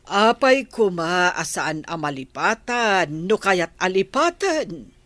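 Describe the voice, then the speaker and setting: A woman playing an old grandmother, questioning and gently scolding, with an Ilocano accent in a radio drama. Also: on the low side, big pitch swings, takes it slowly, no room sound, heavily acted. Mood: concerned. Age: older.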